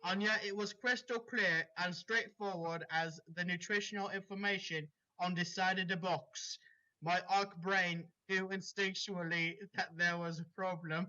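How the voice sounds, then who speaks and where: A man's voice: high-pitched, fairly even intonation, a little slow, sharp tone. A man reading fan fiction aloud and breaking off into casual, chatty asides. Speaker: story reader. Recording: dull lo-fi recording.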